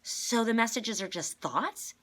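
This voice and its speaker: Thoughtful storybook child, played by a woman: earnest, questioning, lightly husky. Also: fairly high pitch, animated, unhurried, fairly bright tone, voice pushed up. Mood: puzzled.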